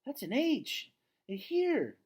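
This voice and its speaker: A man's voice: very high-pitched, big pitch swings, takes it slowly, husky, pitched above the performer's own voice, full character voice. A man voicing an earnest, observant young girl in a storybook, full of urgent wonder. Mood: excited wonder.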